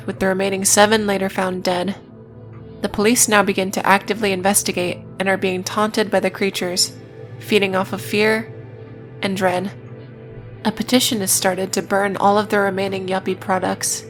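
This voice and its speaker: A woman narrating a scripted video essay in a matter-of-fact way, with casual, dryly irreverent asides. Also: medium pitch. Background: music.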